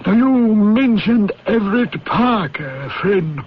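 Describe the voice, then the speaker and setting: An actor playing a senior British intelligence chief in a 1970s radio drama, formal, deliberate and authoritative. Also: male voice, high-pitched, lively intonation. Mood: firm, instructing. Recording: dull, hissy 1970s radio recording.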